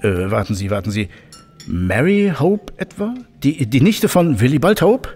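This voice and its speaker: An actor playing a genial, chatty professor of technical history in an audio drama, with a hesitant, jovial and bookish manner. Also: male voice, mid-range voice, sing-song, normal speed, darkish tone, breathy edge. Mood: eager, reminiscing.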